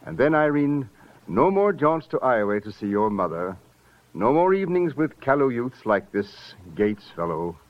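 An actor playing a genteel, possessive older fiancé in a 1950s radio drama, pompous and over-polite. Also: male voice, mid-range voice, big pitch swings, moderate pace, natural voice. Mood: wounded, possessive. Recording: dull vintage radio recording.

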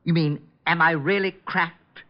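An actor playing a genial, talkative, eccentric client in an old radio drama, with a whimsical, chatty manner. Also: male voice, fairly high pitch, steady tone, measured pace, muffled recording, lightly acted. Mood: explaining, amused. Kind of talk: 1940s-50s American radio drama acting. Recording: band-limited vintage radio recording.

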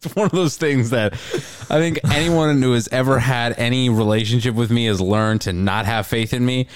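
A man's voice: mid-range voice, normal speed, clean tone, no echo. A young man co-hosting a podcast, chatting casually in a rambling, self-deprecating, joking way. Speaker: podcast co-host. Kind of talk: casual comedic chat.

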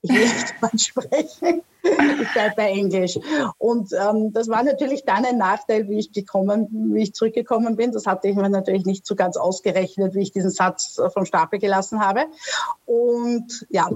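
A woman's voice: mid-range voice, a little mellow, low-quality recording. A woman from Australia, a guest in a casual interview, talking hesitantly with many fillers and restarts.

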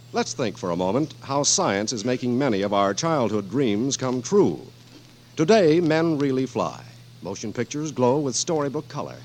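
A man works as a radio announcer in 1940s style. His scripted read is polished and emphatic, rising and falling with lots of animation. The vintage recording carries faint noise. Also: medium pitch. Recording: noisy vintage radio broadcast.

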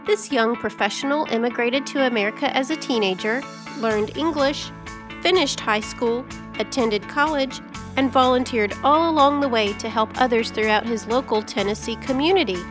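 A woman's voice: on the high side, normal speed, music in the background. A woman hosting a podcast, asking encouraging, friendly questions in an interview. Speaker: podcast host.

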